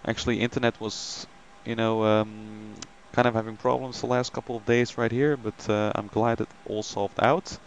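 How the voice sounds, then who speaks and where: Male streamer, lowish voice, chatty and loose with fillers, dull lo-fi mic. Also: flat delivery, normal speed, few pauses, slight background noise. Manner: chatty, greets viewers by name, many ums. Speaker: flight sim live streamer. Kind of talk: casual live stream chat.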